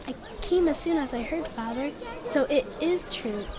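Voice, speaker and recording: A woman's voice: high voice, moderate pace, a crowd in the background, lightly acted. A female actor playing an earnest, devoted young daughter with an exclamatory delivery in an audio drama. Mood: distressed. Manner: breathless, exclamatory.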